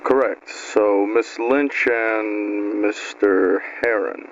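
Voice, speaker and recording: A man playing a brisk official interrogator conducting an analog horror interview. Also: fairly low pitch, steady tone. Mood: businesslike. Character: brisk official interrogator questioning alien witnesses. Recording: muffled radio transmission with noise.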